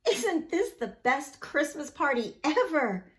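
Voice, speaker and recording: A sweet, excitable storybook girl, as a woman reader puts her on. Her voice is high and highly varied in pitch, earnest and expressive. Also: slow pace, heavily acted. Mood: delighted. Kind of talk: children's storybook read-aloud.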